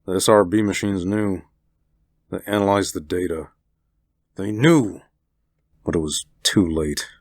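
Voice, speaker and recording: A man's voice: deep voice, a little slow, pauses often, a little mellow, no room sound, studio quality. A man voicing a gray-faced male advisor who murmurs grimly. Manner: murmured and grim.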